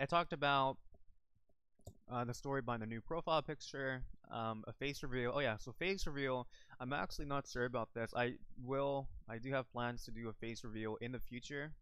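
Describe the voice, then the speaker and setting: A man's voice: medium pitch, fairly bright tone, clean tone, no room sound. A young man from the Philippines chatting casually on a live stream from home, rambling with lots of fillers and restarts into a desk microphone. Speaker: YouTuber streaming about an online game.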